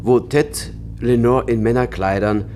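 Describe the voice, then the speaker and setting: An actor in a German radio play as a deferential young writer, polite, hesitant and admiring. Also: male voice, mid-range voice, steady tone, unhurried, darkish tone, faint music behind, natural voice. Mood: curious, hesitant. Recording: droning vehicle hum behind dialogue.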